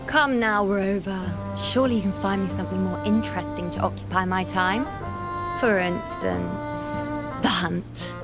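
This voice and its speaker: A woman's voice: mid-range voice, fairly even intonation, measured pace, natural voice. A woman voicing a proud, haughty young priestess in a video game, boastful, playful and theatrical. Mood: bored, teasing. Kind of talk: scripted video game voice acting. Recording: loud background music.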